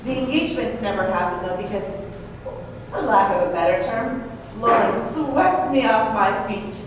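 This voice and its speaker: A woman playing a troubled, sheltered, rich young wife in an old-time radio drama, prim and halting with melodramatic touches. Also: on the high side, close to an everyday voice. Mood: demure, confiding. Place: live stage performance in an echoey room. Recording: echoey hall, distant mic, clear noise.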